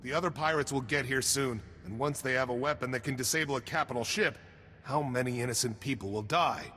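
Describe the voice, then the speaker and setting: An actor playing an earnest, conscientious military engineer in a video game, frank and urgent in delivery. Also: male voice, mid-range voice, brisk, sharp tone, in a large hall. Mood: urgent, frustrated.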